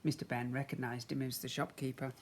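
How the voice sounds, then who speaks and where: A man's voice: mid-range voice, little pitch movement, a little fast. A man, a primary school teacher, reading a story expressively to young children.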